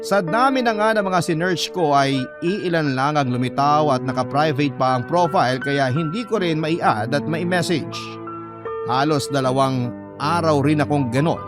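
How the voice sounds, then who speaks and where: A man's voice: a little high, expressive, normal speed, close to an everyday voice. A man with a Filipino accent playing a troubled seafarer son who narrates his story earnestly for a radio drama. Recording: music bed behind the voice.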